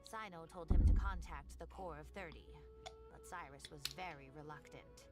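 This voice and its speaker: A woman voicing a kindly elderly scholar in a video game, chatty and genteel, with expressive, fretful concern. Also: low-pitched, moderate pace, lightly acted. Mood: worried. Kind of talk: video game character acting. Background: game music.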